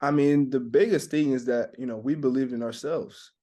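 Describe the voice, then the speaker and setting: A young man from Montreal, a native French speaker, answering interview questions in a halting way with many fillers and restarts. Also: mid-range voice, mellow. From Montreal, Canada. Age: young adult. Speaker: basketball draft prospect.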